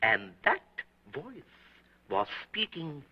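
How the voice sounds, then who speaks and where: An actor playing a fussy German restaurant owner giving eager, rambling testimony in a German accent, from an old 1930s film. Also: male voice, slow, husky, heavily acted. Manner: eager, rambling testimony with lively swings in pitch. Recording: muffled 1930s film soundtrack.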